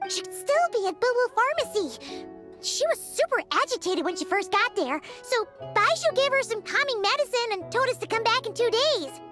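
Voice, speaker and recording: Tiny squeaky fairy companion. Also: female voice, expressive, normal speed, music in the background. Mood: insistent. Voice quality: squeaky, childlike. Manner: eager, naive, exclamatory. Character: tiny excitable childlike female fairy companion. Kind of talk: scripted video game dialogue.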